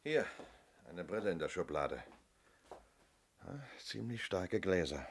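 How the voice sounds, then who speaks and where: A methodical male police inspector in an old radio drama. His voice sits low, and he questions in a measured, probing way. The recording has clear background noise. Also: expressive, full character voice. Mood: musing. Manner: probing, hesitant lead-ins, measured. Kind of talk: 1970s German radio play acting.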